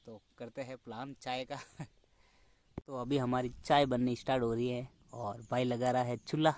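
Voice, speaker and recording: A man's voice: mid-range voice, level tone, moderate pace, darkish tone. Chatty young man vlogging outdoors. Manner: chatty, laughs often, addresses viewers directly. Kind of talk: casual outdoor vlog narration. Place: outdoors in dry scrubland.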